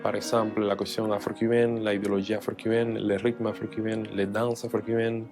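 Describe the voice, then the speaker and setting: A man's voice: fairly low pitch, little pitch movement, brisk, music in the background. A man from Cuba, a jazz pianist, speaking French as an in an interview, hesitant with many fillers and restarts. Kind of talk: interview testimony.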